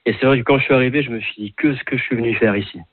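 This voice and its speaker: A man's voice: mid-range voice, rapid-fire, no room sound. A man in his thirties from Lyon, a caller chatting on the radio, hesitant with many fillers and restarts. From France (Lyon). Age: 30s. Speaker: caller, French expatriate. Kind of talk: casual phone chat on radio. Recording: phone line.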